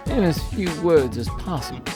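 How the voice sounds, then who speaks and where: A man's voice: a little high, animated, moderate pace, music in the background, close to an everyday voice. Drowsy, groovy cosmic hipster, played by a man. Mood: cool, grudging. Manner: laid-back, slangy, hipster drawl. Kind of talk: comedic audio drama acting.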